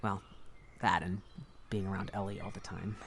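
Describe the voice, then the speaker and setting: A man's voice: low voice, level tone, moderate pace, creaky. An actor playing a kind, self-deprecating young man in an audio drama, hesitant and rambling as he talks. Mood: wistful, confiding. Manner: rambling, hesitant, nervous chuckles. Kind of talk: audio drama dialogue acting.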